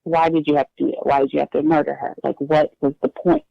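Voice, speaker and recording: Hesitant woman with gravelly voice. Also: low-pitched, little pitch movement, no echo. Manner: hesitant, halting with restarts. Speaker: adoptee guest. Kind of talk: emotional personal interview. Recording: dull lo-fi dry recording.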